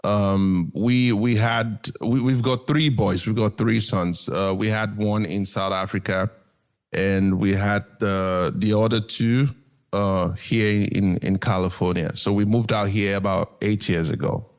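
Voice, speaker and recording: A man co-hosting a podcast, talking slowly and casually, often repeating and affirming. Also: fairly low pitch, fairly even intonation. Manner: slow, affirming, repeats his words. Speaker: podcast co-host. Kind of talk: casual chat.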